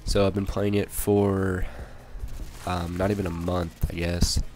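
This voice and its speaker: A young man with a cold, hoarse and a little raspy, rambling through casual gameplay commentary with many fillers and restarts. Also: sits low, level tone, a little slow. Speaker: gaming channel commentator.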